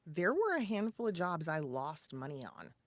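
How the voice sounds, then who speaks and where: Low-pitched woman, dry sound, emphatic advice-giver. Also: big pitch swings, moderate pace, no room sound. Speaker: podcast co-host and voice-over coach. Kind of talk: casual conversational advice.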